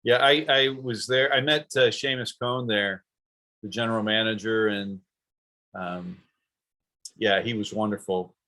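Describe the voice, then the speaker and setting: A man's voice: fairly low pitch, steady tone, measured pace, pauses often, bright, forceful tone. A man hosting a golf podcast interview, chatting enthusiastically and asking friendly questions. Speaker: podcast host. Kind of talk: casual interview chat.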